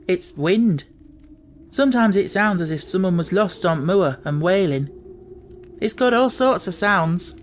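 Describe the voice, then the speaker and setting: A man's voice: high-pitched, expressive, unhurried, voice pushed up. A man plays a young Yorkshire housemaid in a storybook, homely and talkative. She has a stubborn streak and speaks in broad dialect, over a slightly noisy recording. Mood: confused, evasive. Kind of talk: audiobook character voice.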